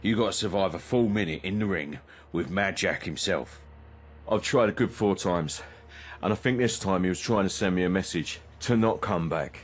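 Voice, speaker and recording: An actor playing a battered but stubborn boxer in a video game, in pain and short of breath, speaking ruefully. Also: male voice, low voice, moderate pace. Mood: stubborn, weary.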